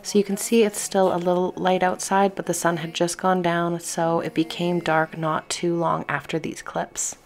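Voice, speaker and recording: A woman in her thirties giving a relaxed, matter-of-fact voice-over for a home vlog. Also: fairly low pitch, normal speed, few pauses. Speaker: home cleaning vlogger.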